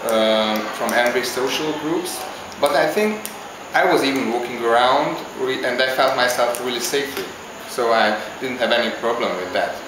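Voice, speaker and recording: A man from Hungary, answering interview questions in a halting, casual way as he searches for words. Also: mid-range voice, background noise. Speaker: interviewee, visiting traveler. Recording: very echoey with clear background ambience.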